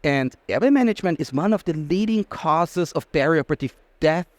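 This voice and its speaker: A man's voice: fairly high pitch, animated, fairly quick, no room sound. A man from Austria with an Austrian accent, a native German speaker, explaining things emphatically as an interview guest. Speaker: interview guest, anesthesiologist and researcher. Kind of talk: conversational expert interview.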